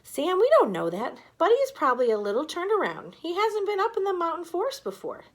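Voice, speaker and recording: A woman voicing a calm, practical father in a storybook, gently reasoning and reassuring. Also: very high-pitched, a little fast, pitched above the performer's own voice, full character voice.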